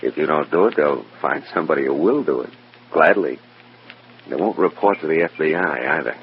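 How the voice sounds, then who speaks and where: An actor playing a matter-of-fact, persuasive FBI agent with a plain-spoken, folksy manner in an old radio drama. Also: male voice, a bass voice, expressive, brisk. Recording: dull, faintly noisy old radio recording.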